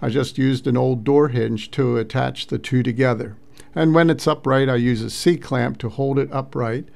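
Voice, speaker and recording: A man narrating an informal hobby video recap with hesitant, halting phrasing. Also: mid-range voice, fairly even intonation, vocal fry, a little mellow.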